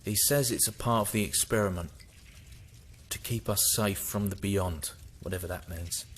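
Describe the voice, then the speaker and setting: An actor playing a wary rebel worker in an audio drama, earnest and explanatory. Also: male voice, lowish voice, normal speed, a bit bright, a little hiss or hum, close to an everyday voice. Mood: grave, informative.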